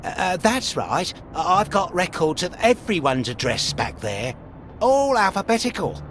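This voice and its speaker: A man's voice: sits high, big pitch swings, measured pace, fairly bright tone, a little hiss or hum, full character voice. A man with a British accent playing a chatty, petty records policeman in a video game, rambling and pleased with himself. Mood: smug, mocking. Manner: rambling, self-pleased, chuckling. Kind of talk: video game character acting.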